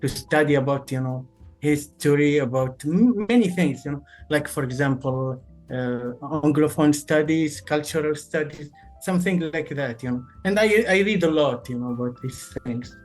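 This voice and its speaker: A man's voice: fairly high pitch, normal speed. A man in his thirties from Tunisia, a native Arabic speaker, talking over a video call and leaning on "you know" between phrases. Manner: hesitant, fills with "you know" constantly. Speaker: guest, English teacher. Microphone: video call webcam. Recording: faint background music.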